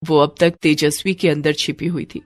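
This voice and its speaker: A woman's voice: sits low, flat delivery, moderate pace, dry recording. A woman narrating a scripted story in a dramatic storytelling style. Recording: music bed behind the voice. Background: music.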